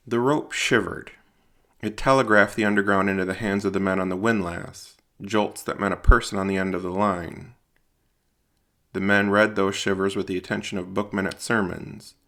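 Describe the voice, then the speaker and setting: A man narrating scripted dramatic fiction in a solemn, deliberate storytelling manner. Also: deep voice, vocal fry, fairly bright tone.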